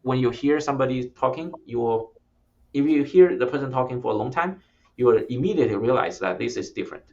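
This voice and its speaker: A man's voice: medium pitch, animated, fast talker, darkish tone, muffled recording. A man who is not a native English speaker, a startup founder explaining his product in an interview, speaking plainly with hesitant restarts. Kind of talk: interview conversation.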